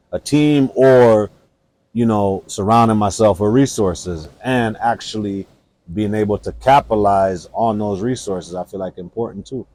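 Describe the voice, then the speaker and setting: A man's voice: on the low side, no room sound. A man hosting an interview podcast, encouraging, often turning to his listeners and tacking "man" onto his lines. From Jamaica. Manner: encouraging, talks to listeners, says "man" a lot, loud laughs. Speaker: podcast host. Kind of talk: conversational interview.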